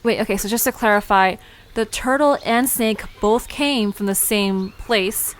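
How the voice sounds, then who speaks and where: A woman playing a curious, outspoken young woman in an audio drama, informal and questioning, sometimes halting mid-sentence. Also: mid-range voice, natural voice. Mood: curious, amused. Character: Alina, curious, outspoken young woman learning about mythical creatures.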